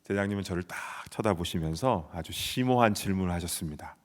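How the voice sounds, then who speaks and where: A man in his forties from South Korea preaches in a church, telling a story with earnest conviction. His voice sits on the low side, and a long hall reverb trails every phrase. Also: quick pace. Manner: earnest storytelling, shouting out quoted soldier replies. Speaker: pastor. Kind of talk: sermon. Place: church sanctuary.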